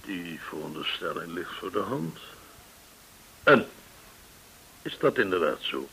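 Shrewd formal gentleman informant in a radio play, deep bright voice that swings about, hissy old recording. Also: very animated, gravelly, heavily acted, poor audio. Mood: shrewd, probing. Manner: formal, measured, deliberate explaining. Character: well-connected, courteous, shrewd gentleman informant in Hong Kong. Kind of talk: Dutch radio drama acting.

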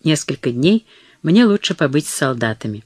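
A reader playing a weary medieval knight who speaks softly but firmly to his wife and his mother, in a storybook reading. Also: a little high, sing-song, moderate pace, breathy edge, no room sound. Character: weary knight husband, gentle but firm.

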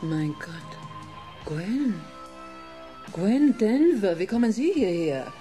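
A woman's voice: medium pitch, big pitch swings, takes it slowly, over music. An actress playing a smug, murderous female director posing as a gangster boss in a German radio crime drama, savouring her words with theatrical mockery. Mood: startled, imperious. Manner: mocking, theatrical, savouring each word.

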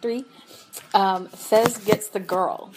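Young woman cohosting a pod from home, giggly, says like a lot, normal pitch. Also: steady tone, slow, noisy background. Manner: chatty, giggly, lots of fillers. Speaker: podcast co-host. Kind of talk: casual chat.

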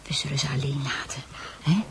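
An actress playing a coaxing, fussy woman at a séance in a radio play, halting and often half-whispering. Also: very deep voice. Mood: hushed, urging. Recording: noisy old radio play recording.

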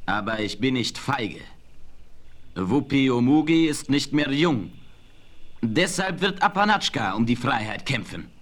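Proud young chief, dramatic swinging pitch. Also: male voice, big pitch swings, measured pace, a bit bright. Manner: solemn, declamatory. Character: proud, brave young male Comanche chief. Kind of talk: German radio play acting.

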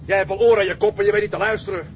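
A fairly high-pitched male voice over clear engine noise. An actor plays a seasoned, hot-headed tugboat sailor in a radio play. He argues stubbornly, with bitter indignation. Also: fairly even intonation, very fast, natural voice. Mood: defiant. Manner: indignant, forceful arguing.

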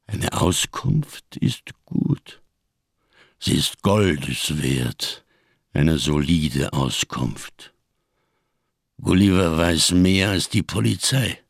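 A deep, gravelly giant of a man, a tattered survivor living in darkness. He declaims slowly with solemn, bitter irony, in the manner of a radio crime play. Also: animated, no echo, studio quality, exaggerated voice. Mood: sardonic. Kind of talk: German radio crime drama acting.

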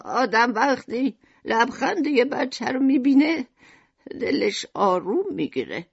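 A woman voicing an amazed, wistful old woman in an audiobook story, with slow, sighing delivery. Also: fairly high pitch, big pitch swings, creaky, husky, no echo, highs cut off, pitched above the performer's own voice, exaggerated voice.

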